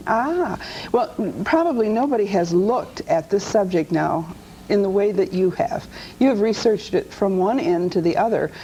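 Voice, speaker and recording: A woman's voice: medium pitch, expressive, moderate pace, dark tone, muffled recording, a little hiss or hum. A woman hosting a TV talk show, asking her guest interested questions. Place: TV studio.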